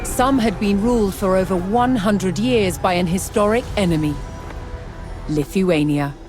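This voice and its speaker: A woman narrates in a formal documentary style, as for a historical strategy game. Her mid-pitched voice tells the story in a measured, scripted way. Music plays clearly behind her.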